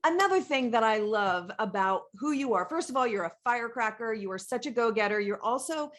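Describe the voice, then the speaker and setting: Higher-pitched, effusive woman hosting. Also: animated, brisk. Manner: effusive, emphatic. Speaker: podcast host. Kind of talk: enthusiastic interview hosting.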